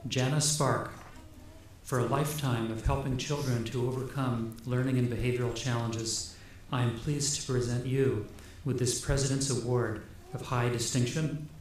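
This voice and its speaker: A man's voice: medium pitch, steady tone, normal speed, darkish tone. A man formally reading out a scripted award citation as a university provost. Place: large ceremony hall. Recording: very echoey hall, faint noise.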